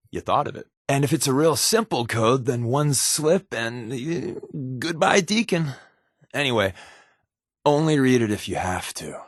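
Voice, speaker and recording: A man's voice: medium pitch, dry recording, studio quality. A man with an American accent playing a wry, evasive spy companion in a video game, talking conversationally with hesitant asides. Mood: wry, casual.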